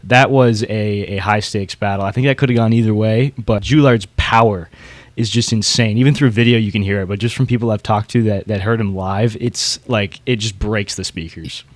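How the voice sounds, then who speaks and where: A man's voice: on the low side, moderate pace, no room sound. A man hosting a podcast in a casual, chatty style. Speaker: podcast host.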